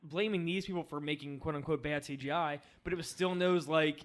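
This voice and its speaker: A man's voice: on the high side, steady tone, fast. A man co-hosting a film podcast, discussing movies in a casual, conversational way.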